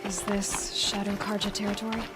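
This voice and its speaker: A young huntress, acted by a woman in a video game, with a medium-pitched voice. She is level-headed and inquisitive, speaking in a measured, matter-of-fact way. Very loud music runs behind her. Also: monotone, natural voice. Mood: level, questioning. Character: capable young female hunter and tracker, level-headed, dryly confident. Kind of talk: video game dialogue acting.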